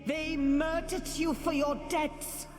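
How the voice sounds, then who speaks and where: A pious nagging ghost wife. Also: female voice, high voice, flat delivery, slow. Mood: reproachful. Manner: sanctimonious, reproachful, solemn. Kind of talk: video game voice acting. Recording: game audio with reverb and music.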